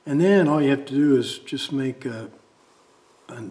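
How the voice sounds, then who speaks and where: A man talking casually and haltingly, thinking aloud as he demonstrates a drawing. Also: mid-range voice, a little mellow. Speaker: amateur artist demonstrating a drawing. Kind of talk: casual talk while drawing.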